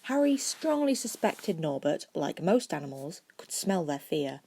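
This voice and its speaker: Low-pitched woman narrating a story. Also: big pitch swings, a little slow, warm tone, breathy edge. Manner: reading aloud with stumbles and corrections. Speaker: amateur audiobook narrator.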